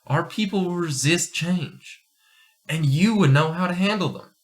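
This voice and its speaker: A man's voice: a little high, a little slow, close to an everyday voice. A man playing an earnest, strict astronomer in an amateur radio play, speaking formally and emphatically. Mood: urgent, pleading. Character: earnest, agitated astronomer pleading for change, fatherly and strict.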